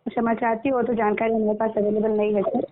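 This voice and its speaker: A woman's voice: on the high side, level tone, quick pace. A woman with an Indian accent working as a customer care agent on the phone, polite, formal and repetitive. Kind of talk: scripted customer service phone talk. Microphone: phone line. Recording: tinny phone line with faint noise.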